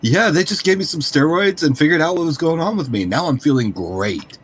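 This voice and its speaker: A man's voice: on the high side, expressive, brisk, slight background noise. A man playing a tabletop game, chatting casually and role-playing in a playful, informal way. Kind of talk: casual chat and role-play.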